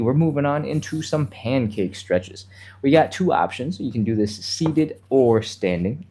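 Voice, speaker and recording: Male fitness instructor for a livestream. Soft-edged, relaxed tone, a touch nasal from a slight cold, conversational step-by-step guidance. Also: medium pitch. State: mild cold, a little sniffly. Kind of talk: casual live stretching instruction.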